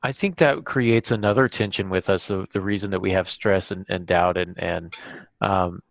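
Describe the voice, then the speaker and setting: A man hosting a podcast, talking reflectively in a halting, thoughtful way. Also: low-pitched, vocal fry. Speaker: podcast host and author.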